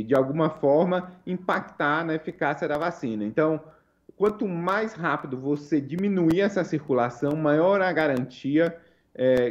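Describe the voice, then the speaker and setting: A Brazilian man, a researcher giving measured, explanatory answers as an interview guest, heard over a lo-fi link. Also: a little high, darkish tone.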